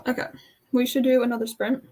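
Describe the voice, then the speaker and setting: A woman's voice: fairly high pitch, little pitch movement, fast talker, dark tone, slight background noise. A Canadian woman co-hosting a book club livestream in casual, playful chat. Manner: playful, chatty banter.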